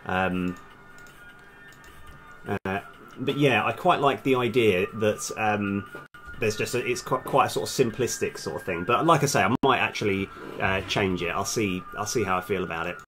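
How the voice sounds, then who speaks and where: Low-voiced man, rambling and hesitant. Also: moderate pace, a bit bright, faint music behind. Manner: rambling, hesitant, self-deprecating. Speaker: streaming game developer, host. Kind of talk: casual live coding stream talk, thinking aloud.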